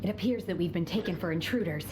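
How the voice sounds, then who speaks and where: An actress voicing an aloof, composed young woman trained by immortals, speaking in a calm, formal, measured way in a video game. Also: on the low side, natural voice. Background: game music.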